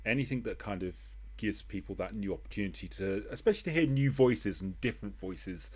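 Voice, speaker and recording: A man's voice: lowish voice, expressive, a little fast, darkish tone. A man hosting a casual interview in an encouraging, appreciative manner. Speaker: interview host.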